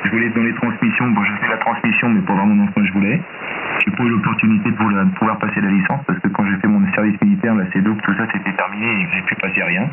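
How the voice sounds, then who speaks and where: A tinny, hissing radio signal carries a man's deep voice from far off. He has an accent from France and talks as a ham operator in a chatty, hesitant way, feeling for his words as he goes. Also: fairly even intonation, fast. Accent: French from France. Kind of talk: casual ham radio contact. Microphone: heard over radio receiver, distant. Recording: noisy narrow-band shortwave radio with hiss.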